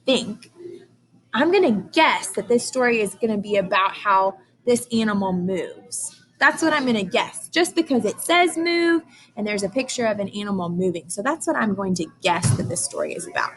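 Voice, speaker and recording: A woman's voice: medium pitch, a little hiss or hum. A woman teacher reading aloud to young children, explaining and asking questions in simple, emphatic language.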